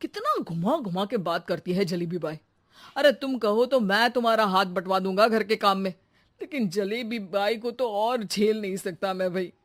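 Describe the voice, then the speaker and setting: A woman's voice: medium pitch, normal speed, lightly acted. A woman voicing an exasperated middle-aged husband in a cartoon, who grumbles that the maid has tangled his mind. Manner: grumbling, fed-up complaints. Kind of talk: Hindi cartoon voice acting.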